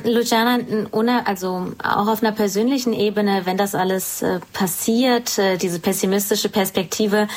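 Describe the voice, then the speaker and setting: Woman, community radio interviewer, halting, searching questions, mid pitch, plain voice. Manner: halting, searching phrasing while asking questions.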